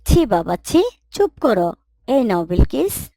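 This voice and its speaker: A woman voicing a kind, pious mother in a moral cartoon, gently admonishing and instructive. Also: a little high, very animated, slow pace, dry recording, exaggerated voice. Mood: gently scolding. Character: kind, pious mother gently correcting her young son. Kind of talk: Islamic moral cartoon voice acting.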